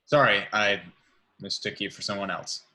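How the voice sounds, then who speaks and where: A man playing a firm, principled billionaire businessman in a measured, confrontational way at an amateur table read. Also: lowish voice, bright, forceful tone. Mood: awkward.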